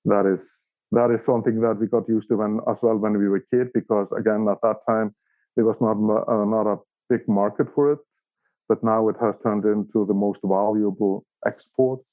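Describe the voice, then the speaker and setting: A man from Iceland with an Icelandic accent, answering casually as an interview guest in a halting way, repeating words as he searches for phrasing. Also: fairly low pitch, steady tone. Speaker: interview guest, company operations director. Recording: thin phone-like call audio.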